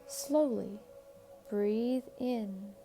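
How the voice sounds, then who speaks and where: A woman leading a guided meditation in a calm, soothing, measured way, leaving long pauses between short phrases. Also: mid-range voice. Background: background music.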